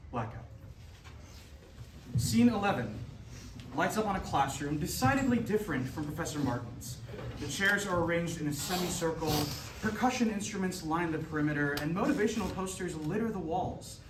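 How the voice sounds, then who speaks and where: Heard from a distance in a noisy, echoing hall, a man narrates stage directions from a script. His voice sits a little high. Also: lively intonation, moderate pace. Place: live staged reading in a hall. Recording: noisy, echoey room from far off.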